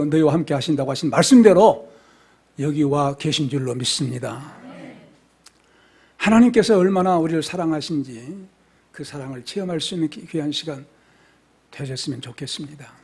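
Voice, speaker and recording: A male pastor preaching an expository sermon in a church, swinging from soft, hushed phrases to loud, fervent exclamations. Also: fairly high pitch, husky. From South Korea. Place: church sanctuary.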